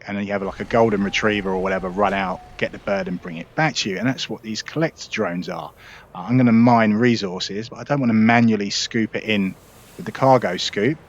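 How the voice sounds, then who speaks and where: A man's voice: fairly low pitch, slightly rough, lo-fi sound. A man hosting a gaming video, casually walking viewers through his ship setup in a chatty, explanatory way with frequent "uh"s and restarts. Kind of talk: casual gameplay walkthrough.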